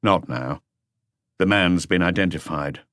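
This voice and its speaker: Man playing a deep-voiced, laconic detective. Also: a little slow, a bit bright, no echo, studio quality. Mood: pensive. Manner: terse, unemotional, authoritative. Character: calm, laconic senior male police detective. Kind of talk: audiobook character dialogue.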